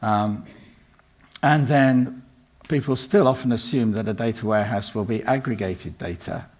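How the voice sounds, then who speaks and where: An older man with a croaky voice from a cold, giving a rambling conference talk full of ums and restarts. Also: on the low side, normal speed, darkish tone. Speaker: conference speaker. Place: conference room.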